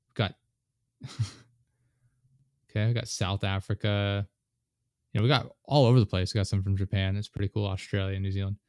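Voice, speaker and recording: A man's voice: lowish voice, a little mellow, no room sound, studio quality. A man in his late twenties hosting a casual podcast chat, rambling with many fillers and restarts. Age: 20s. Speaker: podcast host.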